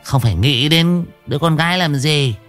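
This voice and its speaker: A man's voice: a little high, normal speed, fairly bright tone, soft background music, pitched above the performer's own voice, close to an everyday voice. A man voicing a cruel old village mother-in-law who scolds with scorn and cackles slyly.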